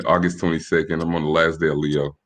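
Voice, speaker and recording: A man in his late twenties, from Michigan, speaking as a podcast guest, relaxed and a bit hesitant with restarts. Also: extremely low pitch, a little fast. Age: 20s. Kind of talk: casual interview chat.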